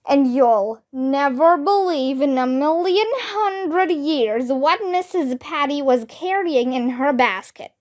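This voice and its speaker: A woman reading a children's book aloud in an animated, expressive way. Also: high-pitched, takes it slowly, hardly any pauses. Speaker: storybook reader.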